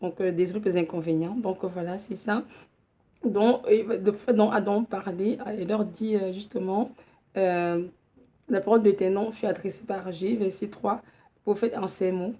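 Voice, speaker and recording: Woman, 30s, hoarse from a cold, earnest informal preacher, mellow tone, halting delivery. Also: mid-range voice, steady tone. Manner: earnest, halting, with many fillers and restarts. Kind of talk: informal home sermon.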